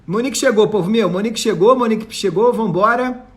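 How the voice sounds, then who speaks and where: A man's voice: high-pitched, a little fast, breathy edge. A Brazilian man hosting a casual live online chat, effusive and informal, often repeating his phrases. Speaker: host. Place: online live video call.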